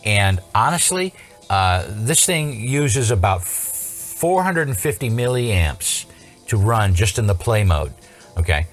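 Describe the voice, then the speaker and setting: A man with a medium-low voice talks through a hands-on demonstration as the presenter. His delivery is informal and explanatory, sprinkled with dry, wry asides, and sounds unscripted. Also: fairly bright tone. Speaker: host, electronics repair tutorial presenter.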